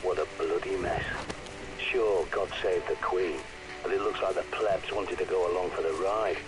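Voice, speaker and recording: A man's voice: low-pitched, steady tone, moderate pace, music in the background, lightly acted, rough recording. A man voicing a cynical, terse survivalist guide in a video game, with a British accent. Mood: sardonic. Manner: terse, sardonic. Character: gruff cynical male survivalist guide, the Prepper.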